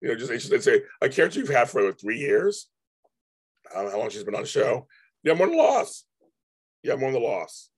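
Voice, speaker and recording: A man talks casually to his live-stream viewers, his voice hoarse and husky. He rambles, repeats himself and restarts his sentences often. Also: medium pitch, huge pitch swings, moderate pace, long pauses. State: hoarse, voice going out. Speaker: livestream host.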